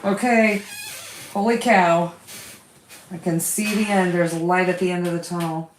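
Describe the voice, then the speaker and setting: A woman's voice: on the low side, steady tone, normal speed, reverberant, a little hiss or hum, poor audio. A woman who has lost her voice, hosting a quilting livestream, chatting casually with viewers and reading their comments while she sews.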